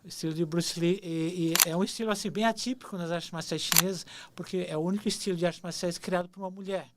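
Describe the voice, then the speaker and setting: A man's voice: high-pitched, fairly even intonation, quick pace, heavy background noise. Guy in his 40s on a podcast, nostalgic, keeps stumbling n repeating words. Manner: hesitant, repeats words and restarts often; earnest and nostalgic. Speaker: podcast guest. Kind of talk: reflective storytelling.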